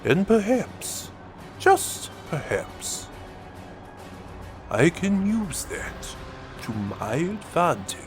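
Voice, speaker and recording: A man's voice: sits high, sing-song, music in the background, exaggerated voice. Man acting a brooding villain, wildly swooping delivery. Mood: gloating resolve. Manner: theatrical monologue with dramatic pauses, hushed drops and swells. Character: villainous time-travelling alien warlord, brooding, menacing, grandiose. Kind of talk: dramatic audio drama monologue.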